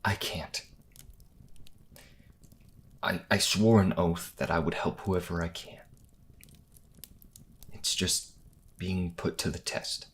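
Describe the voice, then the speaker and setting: An actor playing an earnest young priest healer in a fantasy audio drama, anguished and determined, with a hesitant, stammering delivery. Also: male voice, low voice, fairly even intonation, takes it slowly, pauses often, husky, full character voice. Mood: troubled resolve. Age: young adult.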